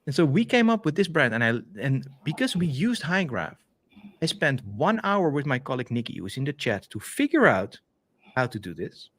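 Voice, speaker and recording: A man with a Dutch accent casually walking through a software demo on a live stream, chatty and full of fillers and restarts, heard over a video call. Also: medium pitch, big pitch swings, moderate pace. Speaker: developer advocate co-hosting a stream. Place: home office, video call.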